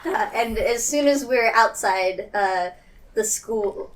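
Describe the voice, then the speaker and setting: A woman player casually improvising in a tabletop roleplaying game, hesitant and halting. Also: fairly high pitch, distant mic, rough recording.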